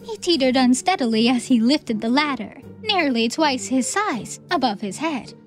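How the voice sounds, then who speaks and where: A woman's voice: high voice, expressive, moderate pace, soft background music. A woman reading scripted fantasy storybook narration as an audiobook narrator.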